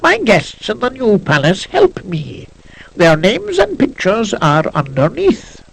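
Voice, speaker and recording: A frail, blind old ruler from a storybook, played by a man, speaks with gentle menace. The voice is very high and cracked, slow in pace and full of swoops. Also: wildly swooping pitch, vocal fry, heavily acted. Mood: gently menacing. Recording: hissy lo-fi cassette transfer.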